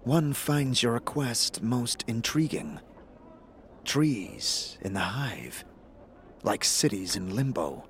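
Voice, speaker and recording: A man playing a solemn, philosophical warrior companion in a fantasy audio drama, speaking formally and deliberately. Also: mid-range voice, expressive, a little hiss or hum.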